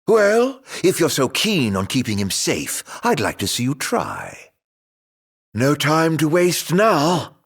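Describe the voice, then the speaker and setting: An actor playing a mocking, theatrical immortal villain in a video game, drawling mock-polite taunts in a husky, gravelly voice. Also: male voice, a little high, wildly swooping pitch, takes it slowly, no room sound, clean studio sound, heavily acted. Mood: mocking, taunting.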